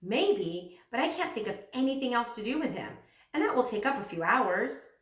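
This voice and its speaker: A woman's voice: a little high, big pitch swings, normal speed, fairly bright tone, pitched above the performer's own voice. A woman voicing an easygoing, practical father from a children's storybook, speaking in a matter-of-fact way. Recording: roomy echo, distant microphone.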